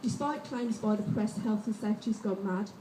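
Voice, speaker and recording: Rally organiser, female, mellow tone, heard from a distance. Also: medium pitch, a little fast, reverberant, a little hiss or hum. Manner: steady, reading from notes. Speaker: rally organiser introducing speakers. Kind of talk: read-out speech.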